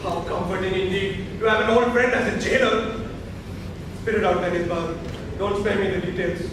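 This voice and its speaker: A man's voice: high-pitched, steady tone, moderate pace, close to an everyday voice. A man acting the part of an aged deposed emperor on stage, proud, bitter and indignant, with an imperious theatrical delivery. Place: theatre stage, recorded from far off. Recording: distant, echoey, noisy stage recording.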